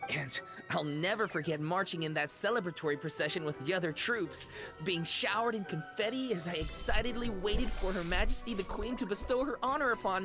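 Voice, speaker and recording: An actress playing a sleepy, muddled young female concierge in a video game, polite and earnest, with dreamy, halting, theatrical storytelling. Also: mid-range voice, lightly acted. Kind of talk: scripted video game character dialogue. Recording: game music behind the voice.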